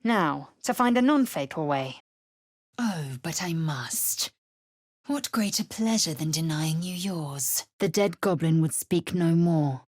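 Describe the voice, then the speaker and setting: Casting: fierce young female alien warrior for a fantasy game; low, husky, clipped, imperious. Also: expressive, takes it slowly, darkish tone, no echo, clean studio sound, exaggerated voice. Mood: disdainful. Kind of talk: video game character lines and narration.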